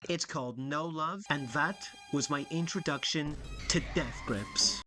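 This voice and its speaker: A man's voice: a little high, measured pace. Self-mocking young Welsh man, music in background. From Wales. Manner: dry self-mocking humor. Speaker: video essayist. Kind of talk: scripted music commentary.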